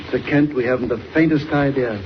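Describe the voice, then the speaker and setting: A man's voice: mid-range voice, brisk, close to an everyday voice. An actor playing an earnest middle-aged male air-transport manager in a 1940s radio drama. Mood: sober, explaining. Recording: noisy, muffled 1940s radio serial recording.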